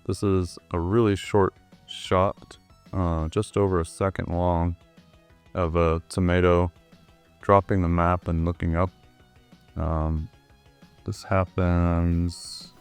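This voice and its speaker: A hoarse man, still getting over the flu, talks slowly in a very low, smooth voice. He walks through his animation work in a relaxed way, with plenty of ums. Faint music plays behind him. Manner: relaxed, with many ums and uhs. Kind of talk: screen-recorded tutorial walkthrough.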